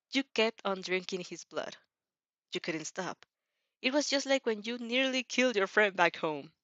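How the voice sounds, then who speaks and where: A woman who is a non-native English speaker, hosting a casual fan fiction read-aloud with an informal, halting, giggly delivery and self-corrections. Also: mid-range voice, fairly bright tone, dry recording, lo-fi sound. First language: not English. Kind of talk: casual reaction chat and story reading.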